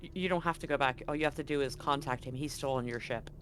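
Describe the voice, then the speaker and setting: A game master with a medium-pitched voice talks casually through a tabletop role-playing session. The delivery is informal and explanatory. Some background noise sits behind the voice. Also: fast talker, a bit bright. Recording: clearly noisy background.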